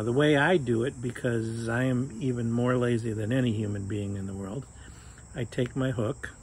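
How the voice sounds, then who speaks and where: An older man talks through a fly fishing knot in a relaxed, joking way, chatting as his hands work. His voice sits at an ordinary middle pitch. He is outdoors on a patio, close to the camera, with faint crickets and insects behind him. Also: slight background noise. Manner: chatty and joking, talks while tying knots. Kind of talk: casual how-to demonstration. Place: outdoors on a sunny patio by a fence.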